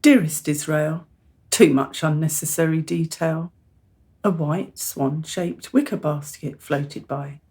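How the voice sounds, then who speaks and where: An actress plays a middle-aged mother in a radio drama. Her voice is low and husky. She is earnest and insistent, measured but exasperated with her family. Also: mellow, heavily acted. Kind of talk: radio play acting.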